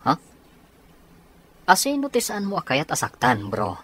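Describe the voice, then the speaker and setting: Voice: mid-range voice, wildly swooping pitch, measured pace, fairly bright tone, husky, full character voice. An actor with an Ilocano accent playing a male soldier, a supportive buddy, in a radio drama. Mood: concerned, questioning.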